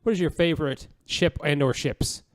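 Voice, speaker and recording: Slightly high-voiced male podcast host. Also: moderate pace. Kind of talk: casual podcast chat.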